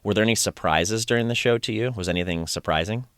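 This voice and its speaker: Deep-voiced man hosting a chat. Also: fast talker, fairly bright tone, clear, resonant tone, no echo, clean studio sound. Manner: enthusiastic, rambling with restarts. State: voice strained from singing. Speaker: podcast host. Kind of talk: casual conversational recap.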